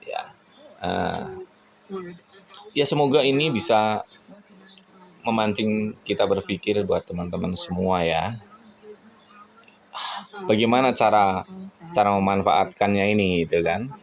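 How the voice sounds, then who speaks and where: A man from Indonesia with a lowish, creaky voice speaks off the cuff to his viewers. He is earnest and reflective, feeling his way through sentences and turning to rhetorical questions. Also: a little slow, long pauses, low-quality recording. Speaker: radio hobbyist vlogger. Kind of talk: casual reflective monologue to viewers.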